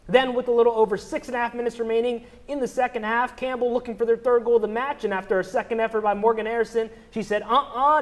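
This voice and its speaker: Young male American sports broadcaster, high-pitched and animated, punchy emphatic delivery. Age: young adult. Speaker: student sports anchor, reporter and play-by-play commentator. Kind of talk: scripted sports news and commentary.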